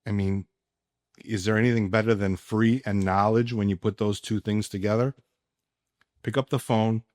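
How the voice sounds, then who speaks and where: A man hosting a casual sports podcast, speaking emphatically with deliberate pauses. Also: on the low side, fairly even intonation, darkish tone, no room sound, studio quality. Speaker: podcast host. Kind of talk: casual sports podcast talk and personal ad read.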